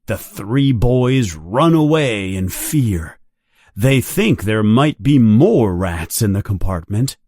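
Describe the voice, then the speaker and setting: Slow, expressive male story narrator. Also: fairly low pitch, no echo. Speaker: audiobook narrator. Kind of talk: scripted read-along story narration.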